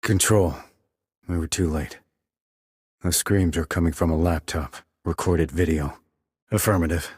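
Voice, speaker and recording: An actor playing a terse, cool-headed sniper operative in a video game, with clipped, controlled military delivery and a low husky voice. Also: male voice, steady tone, a little slow, pauses often, darkish tone, dry recording, studio quality. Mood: focused, controlled. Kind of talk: military radio chatter, game dialogue.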